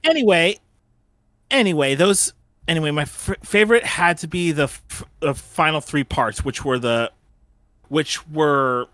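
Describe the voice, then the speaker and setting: A man's voice: a little high, measured pace, a bit bright, dry recording. A man hosting a casual fan retrospective panel, often repeating and restarting his phrases.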